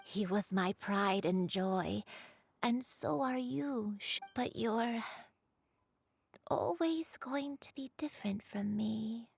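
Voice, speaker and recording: An actress voicing a frail elderly grandmother in a game, who speaks softly and haltingly as she struggles to remember. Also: a little high, exaggerated voice. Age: older. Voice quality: breathy. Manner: hesitant, halting, soft-spoken. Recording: game audio with soft music.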